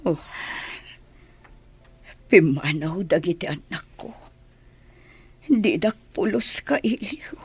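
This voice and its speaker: Woman as a breathy, ailing, remorseful mother. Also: lowish voice, lively intonation, takes it slowly, long pauses, no echo, heavily acted. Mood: sorrowful, remorseful. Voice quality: husky, breathy. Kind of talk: Ilocano radio drama acting.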